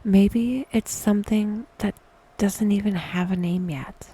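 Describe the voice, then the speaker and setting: Female meditation host, hushed and breathy, mellow tone, intimate soothing delivery with deliberate pauses. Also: medium pitch, fairly even intonation, low-quality recording. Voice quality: soft, breathy whisper. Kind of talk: whispered, soothing guided meditation.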